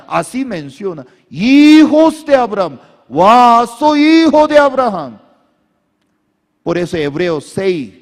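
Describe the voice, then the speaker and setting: A male pastor preaching a sermon in simplified, non-native Spanish, calling on the congregation to repeat after him and raise their hands. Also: sits high. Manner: preaching with call-and-response to the congregation. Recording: echoey hall.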